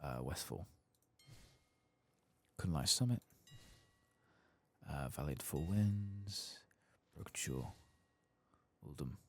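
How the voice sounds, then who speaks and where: A man softly narrating his video game in ASMR style, tired and casual, muttering to himself and drifting into whispers. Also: very deep voice, lively intonation, slow, pauses often. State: tired, late at night. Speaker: host of a game playthrough. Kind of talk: soft-spoken ASMR gameplay commentary. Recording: faint background noise.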